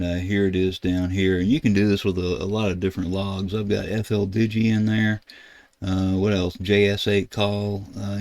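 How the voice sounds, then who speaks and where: An older man, giving a casual, halting screen tutorial as a ham radio video host. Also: deep voice, creaky, a little mellow, dry recording. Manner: halting, rambling explanation. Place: indoors at a computer desk.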